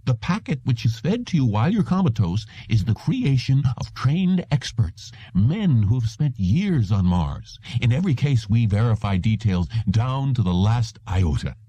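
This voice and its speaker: A man's voice: medium pitch, big pitch swings, normal speed, few pauses, mellow, breathy edge, no room sound, voice pushed up. A man voicing a patronizing, formally polite salesman in an audiobook, insistent and reassuring. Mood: confident sales pitch. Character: smooth, patronizing salesman of implanted memories, male. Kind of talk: audiobook character voice.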